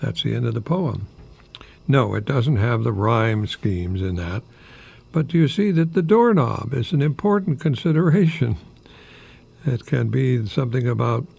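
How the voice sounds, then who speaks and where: An older American man, a retired professor, giving a folksy, rambling radio monologue in a gravelly, husky voice with pauses mid-phrase. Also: medium pitch, darkish tone. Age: older, retired, 60s or above. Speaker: retired English professor hosting a radio program.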